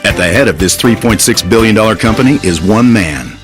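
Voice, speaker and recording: A man's voice: on the low side, animated, fairly quick, a bit bright. Male reality TV narrator over music. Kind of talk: scripted TV narration.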